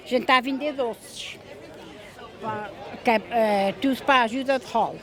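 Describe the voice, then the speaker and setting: An older Portuguese-born woman talks casually about the sweets she sells, now and then dropping in English words. She is recorded outdoors, with a loud crowd chattering all around her. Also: a little high, moderate pace. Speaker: festival volunteer selling sweets. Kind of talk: casual interview. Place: outdoor festival.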